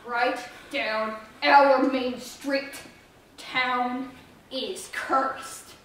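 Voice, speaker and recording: A woman playing an excitable, horrified young small-town witness, telling the story in a dramatic stage style. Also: high-pitched, extremely slow, heavily acted. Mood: mournful. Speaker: actor in a student readers theater group. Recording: very echoey hall, distant mic.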